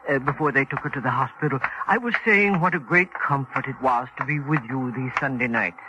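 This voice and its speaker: An actor playing an earnest, devout, humble clerk with a deferential manner, in a 1940s radio drama. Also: male voice, medium pitch, brisk, close to an everyday voice. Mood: earnest gratitude. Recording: muffled, noisy old radio recording.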